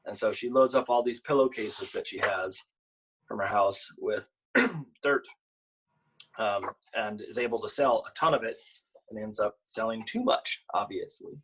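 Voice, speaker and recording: A man who works as a children's author, talking casually and explaining in a halting, conversational way during a storytime. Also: fairly low pitch, a bit bright, slightly rough, poor audio. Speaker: picture book author and illustrator. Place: at home, online video event.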